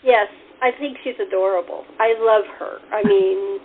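A woman's voice: medium pitch, fairly even intonation, normal speed. A woman hosting a casual cast interview over a remote connection, chatting as the show's writer. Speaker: host and radio playwright. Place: at home on a remote call. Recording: noisy, muffled remote call audio.

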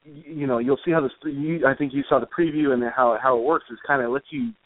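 A man's voice: mid-range voice, fairly even intonation, fast talker, no room sound. A man chatting informally and a little ramblingly as an interview guest, over a tinny line. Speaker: interview guest, comic author and musician. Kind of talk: casual conversational interview.

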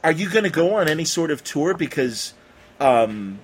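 A man's voice: a little high, sing-song, moderate pace, noisy background. An American man hosting a casual interview, talking in a rambling, effusive way. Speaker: podcast interviewer.

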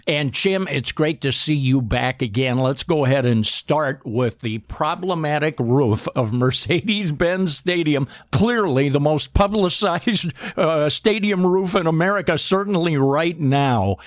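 A man's voice: a little high, measured pace, no echo, clean studio sound. A man hosting a radio show, upbeat and delivering scripted introductions.

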